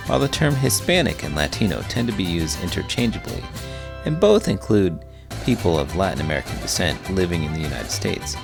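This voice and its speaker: A man doing an expressive, scripted read-aloud of a children's book. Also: low voice, gravelly. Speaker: teacher reading children's books aloud.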